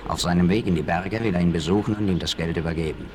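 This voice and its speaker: An actor playing a scheming, bossy outlaw gang leader in a conspiratorial, commanding manner in a 1960s radio play. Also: male voice, low voice, quick pace, lightly acted. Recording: noisy 1960s vinyl record rip.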